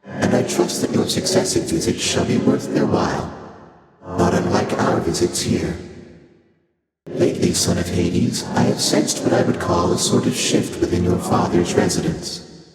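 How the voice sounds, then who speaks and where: A man voicing an ancient, serene, inscrutable primordial being in a video game, speaking in a measured, stately, archaic formal manner with otherworldly echoing processing. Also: sits low, little pitch movement. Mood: calm, courteous. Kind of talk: scripted video game character dialogue. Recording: echoey cavernous reverb, loud music behind.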